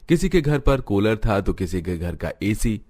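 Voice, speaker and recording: A man's voice: on the low side, big pitch swings, unhurried, a little mellow. A man narrating a scripted cartoon village story.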